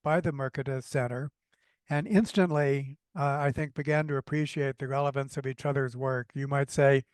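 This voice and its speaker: Enthusiastic podcast host, dry close sound. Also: male voice, mid-range voice, fairly even intonation, smooth, no echo. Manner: enthusiastic, measured, some fillers. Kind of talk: interview introduction.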